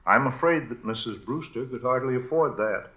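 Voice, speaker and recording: A man's voice: mid-range voice, moderate pace, close to an everyday voice. An American man playing a sober, practical small-town doctor in 1940s radio drama style. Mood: grave, practical. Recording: tinny, echoey 1940 radio broadcast.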